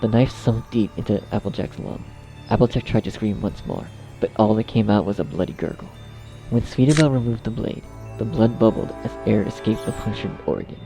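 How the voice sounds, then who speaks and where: A man's voice: on the low side, warm tone, poor audio. A man narrating a scripted horror story.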